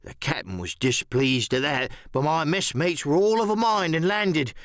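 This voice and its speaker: A half-crazed old castaway sailor in a for an audiobook radio play. The voice is pitched high and leaps up and down as he rambles, repeats himself and confides with sly shrewdness. The sound is close and dry. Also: lively intonation, moderate pace, fairly bright tone, no room sound. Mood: confiding, excited. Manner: rambling, repetitive, conspiratorial.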